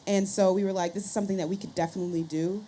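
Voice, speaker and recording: Female panel guest. Conversational, animated storyteller, medium pitch, live-event ambience with light noise behind. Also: racing. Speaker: podcaster and scientist, panel guest.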